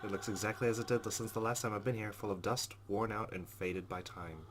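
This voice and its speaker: Low-voiced man narrating a horror game. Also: fairly even intonation, fairly quick, background noise. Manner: dramatic, jittery asides. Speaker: let's play host. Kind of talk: reading a visual novel aloud with off-the-cuff reactions.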